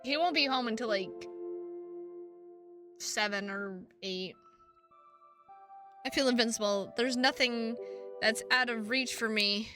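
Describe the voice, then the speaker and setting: A woman's voice: fairly high pitch, takes it slowly, long pauses. A woman streaming a visual novel, reading the text aloud and chatting to viewers with playful, goofy asides, on a close microphone. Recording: music behind the voice.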